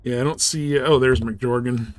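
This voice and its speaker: A man's voice: mid-range voice, steady tone, moderate pace, background noise. A man chatting casually as a live game streamer, easygoing and often half muttering to himself as he goes.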